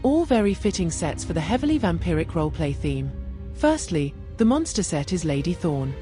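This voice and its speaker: A woman narrating a scripted gaming build guide in a measured, explanatory way. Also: lowish voice, sing-song, mellow. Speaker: gaming video creator and narrator. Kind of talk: game build guide voice-over. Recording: music behind the voice. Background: music.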